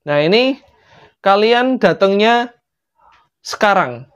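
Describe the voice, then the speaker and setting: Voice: medium pitch, expressive, takes it slowly, smooth, dull audio. A storyteller voicing a bossy, impatient God in a comic tale, hurrying the angels in colloquial exclamations.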